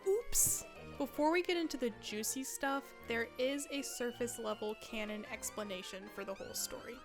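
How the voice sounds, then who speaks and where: A woman's voice: on the high side, huge pitch swings, normal speed, music in the background. A woman in her twenties narrating a video essay in a casual, conversational way with wry asides.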